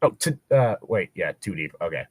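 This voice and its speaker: Animated man reading aloud with joking asides. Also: low voice, normal speed, breathy edge, no echo, studio quality. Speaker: co-host reading fan fiction aloud. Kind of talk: read-aloud narration with casual joking commentary.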